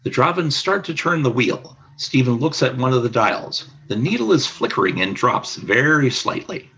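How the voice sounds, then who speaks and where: A man narrating a scripted radio play as storyteller, with a husky voice. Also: mid-range voice, lively intonation, moderate pace, bright, forceful tone, muffled recording.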